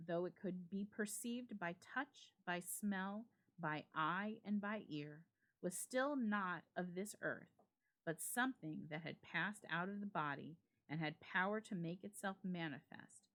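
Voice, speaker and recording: A woman storyteller giving a suspenseful, expressive dramatic reading of a ghost story with deliberate phrasing. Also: fairly low pitch. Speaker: storyteller, library reader.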